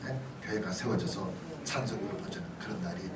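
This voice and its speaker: A man's voice: lowish voice, brisk, over a noisy crowd. A middle-aged man, a pastor, giving a conversational sermon-like lecture, often checking in with his listeners. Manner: conversational, punctuated with short checking questions to listeners. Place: church hall. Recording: distant mic in echoey room, noisy.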